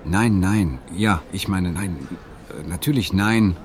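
A man's voice: low voice, takes it slowly. An actor playing a lonely, awkward man in an audio drama, hesitant and self-justifying as he defends himself. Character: defensive, lonely male dream-therapy client, awkward romantic. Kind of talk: German audio drama dialogue.

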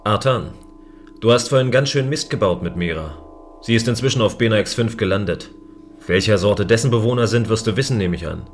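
An actor plays a stern male warden of a space station, with a fairly low voice. He is dry, formal and firmly in control, in a sci-fi audio play with soft music beneath. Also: fairly quick, natural voice. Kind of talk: science-fiction audio drama acting.

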